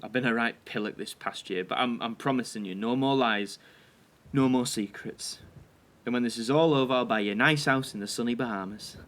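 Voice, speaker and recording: A man's voice: mid-range voice, fairly quick, fairly bright tone, natural voice. Man acting a troubled Northern English wartime husband. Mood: tender, wry. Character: troubled, tender wartime husband in 1940, self-doubting factory worker. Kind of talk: audio drama acting.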